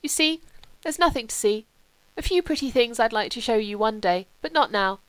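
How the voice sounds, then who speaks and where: A woman's voice: fairly high pitch, expressive, normal speed, no room sound, natural voice, low-quality recording. A woman voicing a moody, wry young upper-class male student. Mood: offhand. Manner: languid, wry, turning curt. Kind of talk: audiobook character voice.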